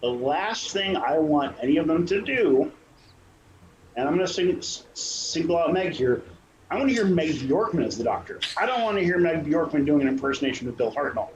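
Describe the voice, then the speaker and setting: A man with a fairly high voice talks casually as a director in a panel discussion. He rambles and rephrases as he goes. The sound is echoey and a little dull, with faint noise. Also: very animated, fairly quick. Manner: rambling, repeats and rephrases himself. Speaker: radio play director and troupe leader.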